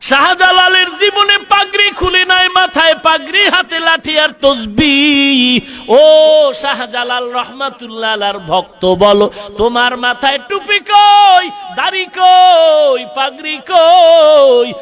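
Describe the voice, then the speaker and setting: High-pitched Bangladeshi man preaching passionately. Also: faint music behind. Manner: impassioned, pleading, swinging between loud drawn-out cries and lower storytelling. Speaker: Islamic preacher. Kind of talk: emotive Bengali waz sermon with chanted, sung-out lines.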